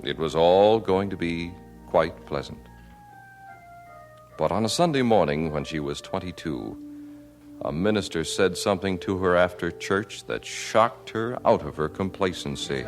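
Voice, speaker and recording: A man narrating a scripted radio drama in a measured, formal storytelling manner. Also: low voice, faint music behind. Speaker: narrator and announcer.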